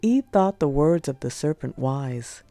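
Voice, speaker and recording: A woman narrating religious prose as an audiobook, in a measured, solemn read-aloud delivery. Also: low voice, expressive, creaky, mellow. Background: music.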